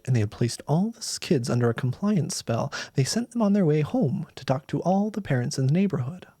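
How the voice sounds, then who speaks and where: Animated man reading a story aloud. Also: on the high side, gravelly, husky, no echo, clean studio sound. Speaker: storyteller, streamer.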